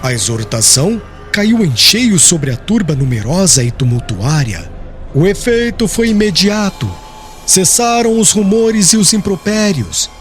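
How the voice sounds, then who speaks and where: Guy narrating an audiobook, pretty high voice, really lively intonation, solemn storytelling, soft music under it. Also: measured pace. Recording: music behind the voice.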